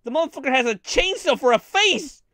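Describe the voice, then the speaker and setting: A man's voice: very high voice, animated, fairly quick, bright, forceful tone, no echo, studio quality. A man streaming a live reaction to anime clips, rambling casually with crude jokes and frequent giggling.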